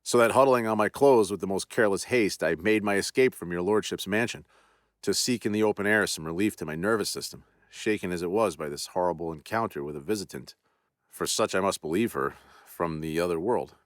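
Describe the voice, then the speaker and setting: A man's voice: sits low, brisk, dry recording, clean studio sound, close to an everyday voice. A man reading the part of a proud army general formally confessing his terror, in earnest period speech. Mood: shaken.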